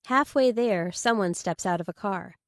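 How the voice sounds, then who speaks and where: A woman's voice: mid-range voice, moderate pace, no room sound, studio quality. A woman reading first-person fiction as an audiobook narrator.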